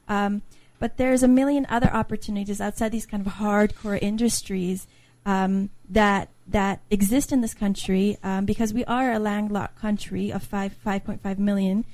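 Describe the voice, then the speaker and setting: A woman's voice: medium pitch, darkish tone, no room sound. A woman from Slovakia, a guest author, earnestly explaining her points in a conversational interview and building them up as lists.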